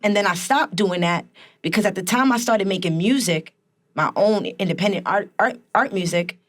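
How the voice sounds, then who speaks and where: A young woman with a raspy voice, a musician talking candidly in a casual, slangy interview. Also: medium pitch, normal speed. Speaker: guest musician and rapper.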